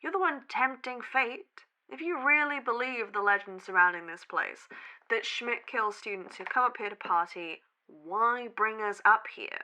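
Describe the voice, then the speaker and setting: Bright, sardonic young college skeptic. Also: female voice, a little high, lively intonation, normal speed, poor audio. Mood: dry, teasing. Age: young adult. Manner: dry, sardonic, questioning. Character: skeptical, sarcastic young female college podcast partner. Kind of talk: horror audio drama, conversational banter.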